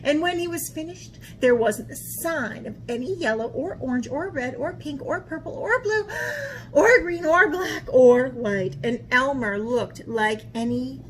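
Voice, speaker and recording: A woman hosting a children's picture-book read-aloud as an art teacher, speaking in an animated way and putting questions to young listeners. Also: sits high, measured pace, slight background noise, poor audio.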